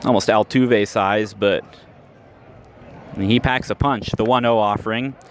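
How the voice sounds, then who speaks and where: A man calling baseball play-by-play in radio style, measured between pitches and lifting sharply on big plays. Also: steady tone. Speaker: play-by-play announcer. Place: ballpark stands, outdoors. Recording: live ballpark recording with outdoor noise.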